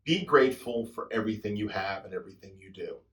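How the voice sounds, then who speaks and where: A man in his sixties, a drummer hosting his channel, telling a personal story in a reflective, introspective way, his sentences often trailing off softly. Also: fairly low pitch, fast. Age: 60s. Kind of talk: reflective personal talk to camera.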